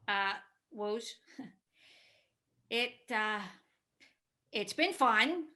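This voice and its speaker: A woman playing a blustering but cowardly male heffalump bully in a play reading, with halting delivery. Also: on the high side, expressive, sharp tone, full character voice. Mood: taunting, sheepish. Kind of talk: dramatized play script reading.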